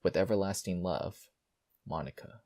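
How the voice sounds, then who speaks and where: A man's voice: low voice, steady tone, moderate pace, mellow. A man hosting a gaming video, giving casual, informal playthrough commentary and reading aloud. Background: music.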